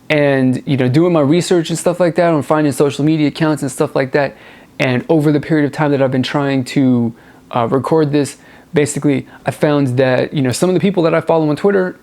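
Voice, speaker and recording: A man's voice: a little high, a little mellow. A man hosting a music review vlog, talking casually and enthusiastically in a rambling, informal way, often repeating words for emphasis. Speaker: host of a music review show.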